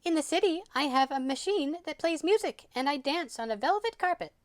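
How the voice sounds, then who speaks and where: A man's voice: very high voice, animated, fairly quick, no room sound, clean studio sound, voice pushed up. A man voicing a grand, breezy female city mouse in a theatrical storybook manner. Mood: grand, boastful.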